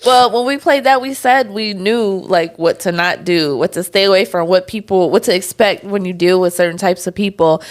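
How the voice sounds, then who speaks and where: Young adult woman, casual podcast co-host; relaxed, filler-heavy, quick to laugh. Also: mid-range voice. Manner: laughs often, chatty with many fillers. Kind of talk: casual chat.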